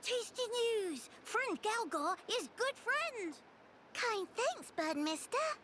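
A woman's voice: extremely high pitch, big pitch swings, slow pace, sharp tone, slight background noise, full character voice. A woman voicing a small fluffy creature in a video game, cute and childlike, in broken grammar. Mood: delighted. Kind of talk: acted video game cutscene dialogue.

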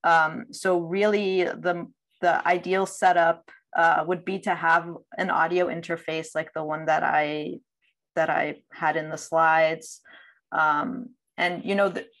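A woman's voice: deep voice, level tone, slow, a bit bright. A woman in her thirties giving thoughtful, winding, self-correcting panel answers over a video call. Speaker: panelist, audio producer. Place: indoor room.

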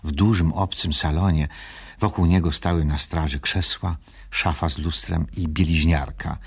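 A man with a husky, raspy voice reading scripted storybook narration as the narrator of a radio play. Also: rumbling low, fairly even intonation, moderate pace.

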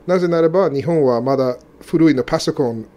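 Halting man, mellow voice. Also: fairly high pitch. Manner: halting non-native speech with frequent restarts and laughs. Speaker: co-host. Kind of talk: casual radio chat.

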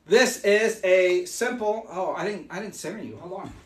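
A man in his forties, hoarse from losing his voice, chatting upbeat as he demonstrates mead making live in a small room. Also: high-pitched, reverberant. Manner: chatty, upbeat, explains as he works. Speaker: meadery owner hosting a live stream. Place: small home meadery room, indoors.

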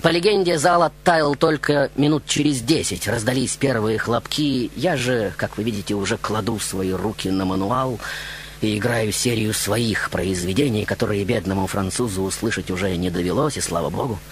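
A man's voice: fairly low pitch, moderate pace, slight background noise, close to an everyday voice. A man playing a proud, flamboyant baroque composer recounting his life in a grandiose, ironic radio monologue. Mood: hushed, sardonic. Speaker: radio show host. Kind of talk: theatrical first-person radio monologue. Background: music behind the voice.

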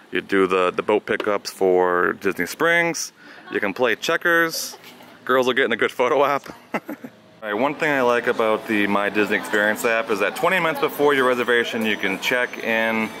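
A man's voice: medium pitch, pushed and bright, a little hiss or hum. A man in his thirties talking casually and upbeat to viewers as a vlog host, recorded on a handheld camera. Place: outdoor resort walkways, some restaurant interior. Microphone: handheld camera at arm's length. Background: music.

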